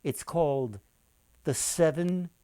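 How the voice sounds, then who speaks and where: An older man reading a children's story expressively, with dramatic pauses, addressing his listeners directly. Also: fairly high pitch, mellow, no echo. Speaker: author and storyteller.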